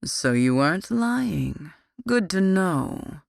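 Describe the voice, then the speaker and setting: A narrator voicing a cruel, sadistic male demon captor in an audiobook, speaking slowly and menacingly. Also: medium pitch, very animated, gravelly, no echo, studio quality, voice pushed up, full character voice. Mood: silkily menacing. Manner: slow, drawn-out menacing delivery. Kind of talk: audiobook character voice.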